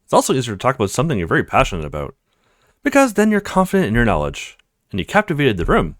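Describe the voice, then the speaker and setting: A man's voice: mid-range voice, wildly swooping pitch, very fast, fairly bright tone, dry recording. Guy doing an earnest young student dude from a visual novel, really varied intonation, dry sound. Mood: amused, reassuring. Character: earnest young male student, overwhelmed but kind. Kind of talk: visual novel character reading.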